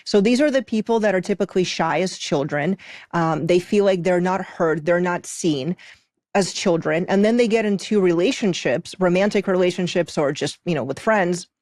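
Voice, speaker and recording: A woman's voice: on the low side, normal speed, a little mellow, no room sound, clean studio sound. A woman from Moldova who moved to the US as a child, explaining her practice as a podcast guest in an emphatic way with check-in questions. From Moldova, moved to US at eight. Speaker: podcast guest, intuitive soul contract reader. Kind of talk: conversational explaining in an interview.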